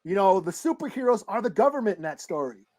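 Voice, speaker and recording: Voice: mid-range voice, sing-song, fast, darkish tone. A podcast co-host chatting and debating casually, in informal, slangy language with plenty of swearing. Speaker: podcast co-host discussing comic books.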